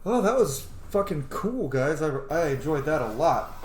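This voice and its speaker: A streamer giving casual, excitable and sweary commentary on a video game. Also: medium pitch, moderate pace. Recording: roomy, echoey, noisy game stream.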